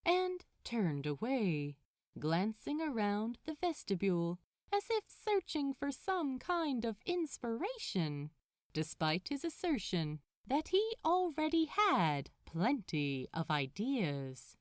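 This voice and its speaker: Female story narrator, very animated intonation, measured pace, close dry sound. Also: on the high side, no room sound. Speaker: podfic narrator. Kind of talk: expressive scripted fiction narration.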